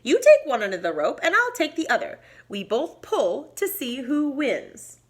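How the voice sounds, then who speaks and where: A woman voicing a small, brave female mouse in a storybook, with a squeaky, eager voice. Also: very animated, normal speed.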